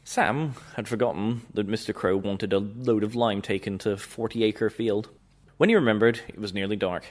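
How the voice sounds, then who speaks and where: A man's voice: fairly low pitch, moderate pace. Man reading a storybook aloud. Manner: steady, expressive narration. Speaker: narrator.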